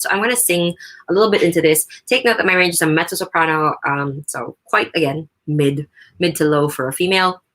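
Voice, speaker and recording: A young woman with a low mezzo voice, chatting loosely with lots of fillers while reviewing a microphone up close in a home room. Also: a bit bright. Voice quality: low mezzo voice, crisp consonants. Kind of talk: casual spoken review. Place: untreated home room, not soundproof. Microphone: studio USB condenser microphone close up.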